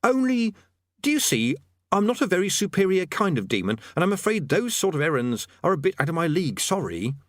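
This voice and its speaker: A man voicing a cowardly, nervous male wizard who talks in a hurried, sarcastic, evasive way. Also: high voice, sing-song, dry recording, studio quality, pitched above the performer's own voice. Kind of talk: audiobook character voice.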